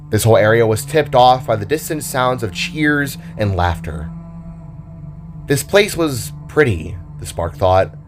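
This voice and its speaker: A man narrating a scripted adventure story in a dramatic style. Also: lowish voice.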